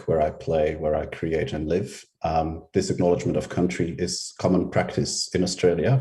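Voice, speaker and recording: A man from Belgium presents his research to a conference over a video call. His voice sits extremely low and has a mellow, dark tone. He speaks in a relaxed, unhurried way, often pausing on ums as he gathers his thoughts. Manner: relaxed, hesitant with frequent ums.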